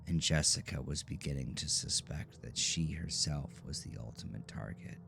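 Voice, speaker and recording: A man reading scripted horror audio drama narration in a measured, ominous storytelling manner. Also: extremely low pitch, vocal fry, background noise.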